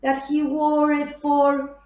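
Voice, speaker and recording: A woman's voice: sits high, monotone, slow, darkish tone, roomy sound. A woman telling a folk tale in a playful, sing-song way with mouth sound effects. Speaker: storyteller.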